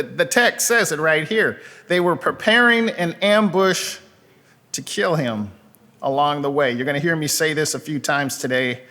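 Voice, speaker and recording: A man's voice: fairly high pitch, fairly bright tone. Male pastor preaching in a reverberant hall. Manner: conversational, with asides and frequent fillers. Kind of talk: sermon with scripture reading. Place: church sanctuary.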